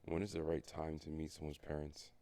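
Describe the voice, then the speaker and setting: A young man co-hosting a podcast, telling stories in a laid-back way that turns animated. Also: very deep voice, fairly quick, no room sound. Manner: laid-back storyteller who gets animated. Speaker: podcast co-host. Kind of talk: casual chat.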